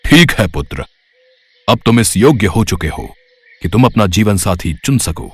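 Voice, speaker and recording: A man voicing a calm, approving king and father in an animated story, speaking in a measured, dignified way. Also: on the low side, slightly rough, dry recording, exaggerated voice.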